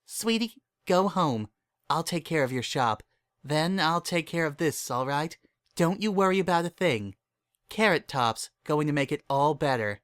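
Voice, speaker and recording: A man's voice: a little high, animated, a little slow, fairly bright tone, clear, resonant tone, no room sound, studio quality, pitched above the performer's own voice. A man voicing a caring, protective female pony friend with a fussing, motherly manner in a storybook reading. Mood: soothing, protective.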